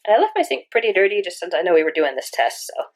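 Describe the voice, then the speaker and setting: A woman's voice: on the low side, very animated, fast talker. A woman hosting a casual cleaning vlog from her home kitchen, chatty and rambling with restarts, her voice stuffy from a cold. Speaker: cleaning video host. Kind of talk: casual product test vlog.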